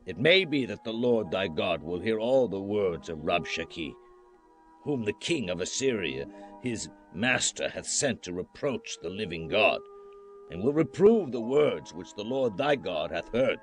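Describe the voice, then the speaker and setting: Man playing a low, expressive, troubled royal messenger. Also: a little slow. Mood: grave, troubled. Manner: grave, earnest. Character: grave, troubled male royal messenger seeking prayer. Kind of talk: dramatized scripture audiobook acting. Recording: music under the voice.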